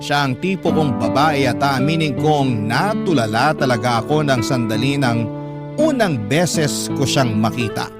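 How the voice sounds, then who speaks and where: Loud guitar music runs under an actor playing a humble, lovestruck man with a Filipino accent. He narrates his radio love story in an earnest, reflective way, at a medium pitch. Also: expressive, measured pace, lightly acted. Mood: wistful, earnest. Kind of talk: first-person scripted Tagalog radio drama narration.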